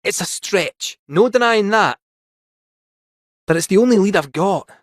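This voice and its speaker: Small robotic cat, Scottish accent, high animated pitch swings, chirpy and wily, folksy game dialogue, dry close recording. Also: male voice, unhurried, dry recording. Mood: explaining, earnest. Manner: theatrical, folksy, chatty. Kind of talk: scripted video game character dialogue.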